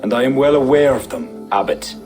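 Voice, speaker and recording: Man acting Irish king, authoritative, composed, formal, mid pitch, video game cutscene, prominent music. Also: expressive, fairly quick, lightly acted. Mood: gracious, firm. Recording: music under dialogue.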